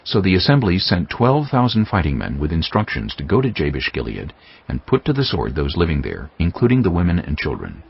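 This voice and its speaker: Very deep, gravelly male narrator. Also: wildly swooping pitch. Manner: solemn, measured. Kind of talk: dramatic scripted scripture narration. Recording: dull lo-fi sound. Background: music.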